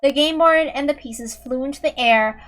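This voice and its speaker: A woman's voice: high-pitched, normal speed, fairly bright tone. A woman reading a fantasy story aloud as an amateur narrator, in a dramatic style. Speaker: amateur reader.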